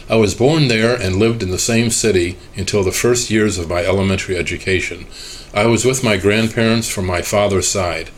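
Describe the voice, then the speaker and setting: Male reader, slightly low voice, measured and even delivery of a translated interview transcript, voicing both sides. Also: a little hiss or hum, low-quality recording. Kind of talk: reading a translated oral history transcript aloud.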